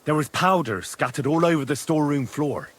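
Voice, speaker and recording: An actor playing a young, common medieval soldier, frank and matter-of-fact, in a dramatic video game. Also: male voice, fairly high pitch, animated, fairly quick. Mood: frank, assured.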